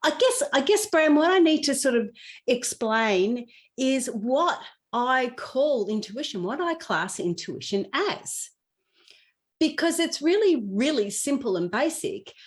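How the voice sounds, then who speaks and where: A woman's voice: high voice, very animated, a little slow. A middle-aged woman speaking as a podcast guest in an earnest, explanatory way, repeating phrases for emphasis as she shares in conversation. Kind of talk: conversational personal storytelling and explanation.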